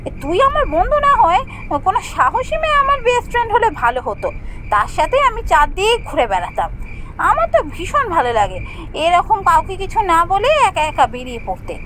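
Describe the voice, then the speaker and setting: A woman voicing a bold, impatient young woman in a Bengali cartoon ghost story, with a brisk scolding manner. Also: very high voice, wildly swooping pitch, sharp tone, slight background noise, heavily acted, low-quality recording. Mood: breezy, reassuring. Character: bold, impatient young woman friend driving through forest.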